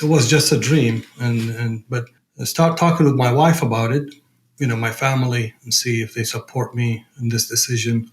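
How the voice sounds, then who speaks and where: A male podcast guest with an Iraqi accent speaks in a medium-pitched voice. He talks conversationally, as if giving a personal account. His delivery is halting as he looks for the right words. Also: a little hiss or hum. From Iraq. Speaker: podcast guest, program director.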